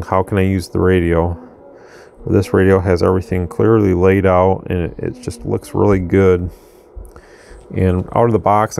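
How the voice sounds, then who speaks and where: A man's voice: sits low, vocal fry, a little hiss or hum. A man casually demonstrating a radio at his workbench, thinking aloud as he goes. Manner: relaxed, thinking aloud, restarts. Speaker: radio reviewer. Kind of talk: casual product demo. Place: workbench in a home radio room.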